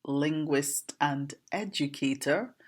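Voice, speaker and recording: A woman with a Trinidadian accent and a slightly hoarse voice, teaching informally in a deliberate, questioning way, like an educator talking to viewers. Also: sits low. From Trinidad and Tobago. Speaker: language educator, presenter. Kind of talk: informal explanatory lesson to camera.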